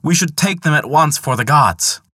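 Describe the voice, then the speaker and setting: Guy doing a high excitable trickster god, sly and wheedly, crisp dry sound. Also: moderate pace, no echo, heavily acted. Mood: wheedling. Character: sly male trickster god, desperate and scheming.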